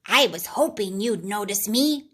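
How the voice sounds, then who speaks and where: A woman voicing a friendly, hairy red creature that is a child's anger, speaking softly and coaxingly in a storybook read-aloud. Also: medium pitch, animated, measured pace.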